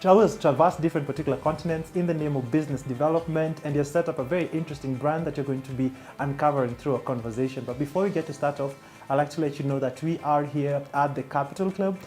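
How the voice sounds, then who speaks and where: A man hosting a business talk show, quick with interjections and short prompts. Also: a little high, darkish tone. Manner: fast, with frequent interjections like interesting and mm-hmm. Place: studio set at a business club.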